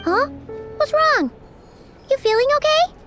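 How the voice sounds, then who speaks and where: A woman voicing a tiny, excitable floating companion who chatters about herself in the third person. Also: very high voice, a little slow, soft background music, heavily acted. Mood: excited. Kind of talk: game cutscene voice acting.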